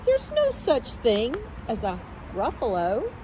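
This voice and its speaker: Storybook mouse, clever and cheeky, read by a woman, high lively voice. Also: slow, a little hiss or hum, heavily acted, poor audio. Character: clever little brown mouse.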